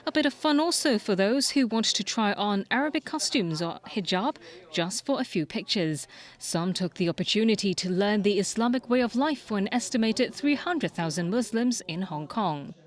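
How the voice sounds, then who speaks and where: A woman anchor reading scripted television news. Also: medium pitch.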